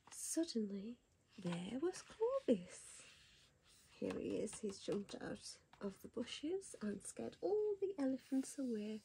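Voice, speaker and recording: A woman reading a bedtime picture book to a child in a soft whisper, gasping and asking the child questions. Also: on the high side, creaky, a little hiss or hum, low-quality recording. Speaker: bedtime story reader.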